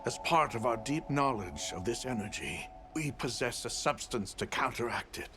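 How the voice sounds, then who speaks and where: A man's voice: mid-range voice, lively intonation, moderate pace, natural voice. An actor playing a solemn, fatherly keeper of ancient knowledge in a video game, speaking in a grave, formal manner. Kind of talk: video game cutscene acting. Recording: music behind the voice.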